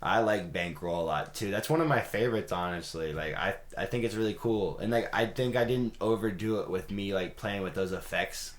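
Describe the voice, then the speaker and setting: A raspy-voiced young man hosting a podcast in his home studio, chatting loosely with lots of fillers and laughs. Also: lowish voice, fairly quick, hardly any pauses, echoey room. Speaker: podcast host and singer. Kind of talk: casual chat.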